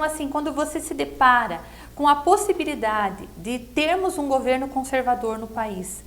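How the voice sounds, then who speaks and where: A middle-aged Brazilian woman with a high-pitched voice speaks in a conversational interview. She explains her views earnestly, as a candidate would, and keeps checking in with short tag questions. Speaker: political pre-candidate being interviewed.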